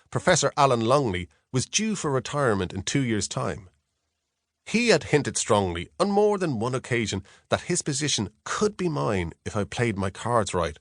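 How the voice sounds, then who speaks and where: A man reads a first-person novel in a measured, reflective storytelling manner. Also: mid-range voice, a bit bright, no room sound, studio quality. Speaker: audiobook narrator. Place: close, dry studio.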